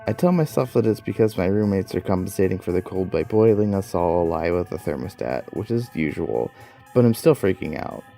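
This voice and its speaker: Young guy rambling through a funny personal story, dark croaky voice, self-deprecating, music playing behind. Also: deep voice, lively intonation, fairly quick. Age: young adult. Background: background music.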